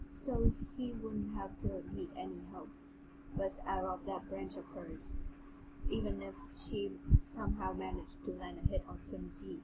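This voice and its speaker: A woman with a medium-pitched voice reads a story aloud as an amateur podcast host, dropping in casual comments. The recording has very loud background noise. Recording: heavily noisy room recording.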